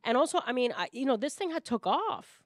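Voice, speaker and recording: A woman's voice: high voice, very animated, brisk, dry recording, clean studio sound. A woman from Puerto Rico speaking emphatically and off the cuff in a panel discussion, often checking in with a tag question. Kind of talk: panel discussion, impassioned unscripted talk.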